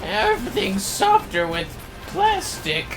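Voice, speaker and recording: A man puts on a nostalgic old-timer, pitched high and swinging around in exaggerated indignation. The old fellow grumbles proudly about tougher days in a comic game-stream bit, over faint music. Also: wildly swooping pitch, takes it slowly, exaggerated voice, poor audio. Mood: nostalgic, boastful.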